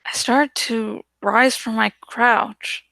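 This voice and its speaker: A woman reading a story aloud as dramatic first-person audiobook narration, deliberate and expressive. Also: a little high, gravelly, no room sound. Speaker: book narrator.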